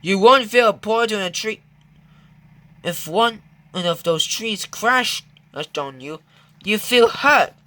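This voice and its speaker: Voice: medium pitch, expressive, slow pace, pushed and bright, pitched above the performer's own voice. A reader voicing a small, cheeky male tank engine in a children's storybook, complaining in an informal way. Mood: warning.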